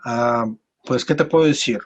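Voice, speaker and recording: A man from Mexico with a Mexican accent, telling stories casually as an interview guest in a rambling, halting way with repeated words. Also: mid-range voice, muffled recording. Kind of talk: casual conversational storytelling.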